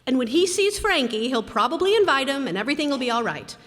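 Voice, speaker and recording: A woman's voice: high-pitched, sing-song, fast, fairly bright tone, full character voice. A woman playing a practical, wry wife who chivvies her husband in a radio comedy re-creation. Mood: wry, chivvying. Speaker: radio player. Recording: reverberant hall, live stage performance.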